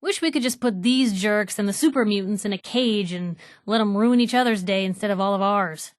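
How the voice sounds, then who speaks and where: A woman's voice: medium pitch, a little fast, no room sound, clean studio sound, close to an everyday voice. An actress playing a plucky young woman reporter, a video game companion with a wry, conversational, sardonic way of talking. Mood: scornful.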